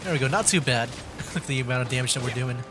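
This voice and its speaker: A man's voice: medium pitch, rapid-fire, background noise. A man giving casual livestream commentary as he plays a video game. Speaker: streamer.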